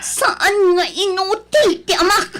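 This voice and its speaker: An actress playing a coquettish, devoted young woman in an Ilocano radio drama, with emotive, drawn-out delivery. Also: very high voice, lively intonation, a bit bright, exaggerated voice. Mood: distressed, pleading. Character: young woman, coquettish with her lover, pleading and devoted daughter.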